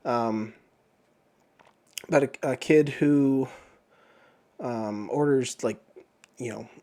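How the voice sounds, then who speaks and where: A man hosting a book review channel, chatting casually while sick with the flu, his voice a bit off, often clearing his throat. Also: mid-range voice, slow, a little mellow. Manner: rambling, frequent throat clearing and filler words. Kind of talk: casual chat.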